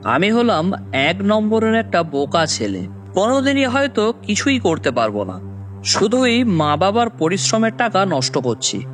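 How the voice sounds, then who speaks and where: A man's voice: high-pitched, big pitch swings, unhurried. A man playing a dejected, jobless young son who narrates his own cartoon story. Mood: despairing. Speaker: narrator and lead character. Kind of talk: first-person dramatic story narration. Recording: music bed under voice.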